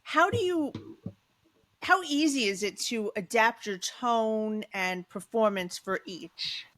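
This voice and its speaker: A woman's voice: on the high side, takes it slowly, fairly bright tone. A woman podcast host chatting casually with a guest, swearing freely and giggling. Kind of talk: casual chat.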